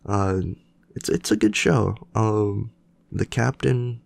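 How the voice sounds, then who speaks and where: A young man hosts his solo podcast with a deep, gravelly voice, made nasal by a stuffed nose from a cold. He rambles casually, filling gaps with filler words. The sound is close and dry. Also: expressive, slow pace, no room sound. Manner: rambling, many fillers and restarts. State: sick with a cold. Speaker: podcast host.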